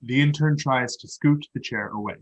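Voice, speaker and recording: Husky man reading stage directions. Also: mid-range voice, moderate pace, poor audio. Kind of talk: scripted narration.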